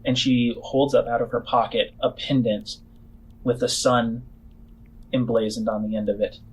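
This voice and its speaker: A man acting as game master, improvising tabletop narration and often pausing mid-sentence. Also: mid-range voice, level tone, slightly rough, rough recording.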